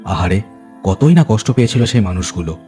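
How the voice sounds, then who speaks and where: Deep-voiced man narrating a ghost story. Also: lively intonation, fairly quick, music in the background. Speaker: narrator of an audio horror story. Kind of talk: dramatic scripted Bengali ghost story narration.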